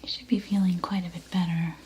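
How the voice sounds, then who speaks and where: A woman playing an unhinged, war-weary apocalypse survivor in a slow, breathy ASMR roleplay whisper. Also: on the low side, level tone, a little hiss or hum, lightly acted, low-quality recording. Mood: dry, caretaking. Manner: slow, hushed, halting delivery in short phrases.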